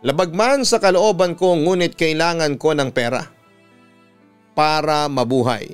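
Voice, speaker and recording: Smooth Filipino male radio storyteller. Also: on the high side, measured pace. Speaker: radio announcer and storytelling host. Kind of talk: dramatic first-person reading of listener letters. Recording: music playing behind the voice. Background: instrumental music.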